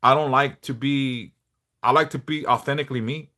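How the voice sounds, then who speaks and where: A middle-aged man a big bass voice, chatting bluntly and passionately on a livestream, swearing freely. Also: steady tone, moderate pace, bright, forceful tone. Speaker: livestream host, devotional teacher. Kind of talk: casual livestream chat.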